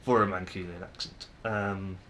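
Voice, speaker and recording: A man's voice: deep voice, steady tone, normal speed, fairly bright tone. Rambling northern English man vlogging. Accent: northern English, Manchester area. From northern England. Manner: rambling, self-deprecating, frequent restarts. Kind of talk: casual monologue to camera.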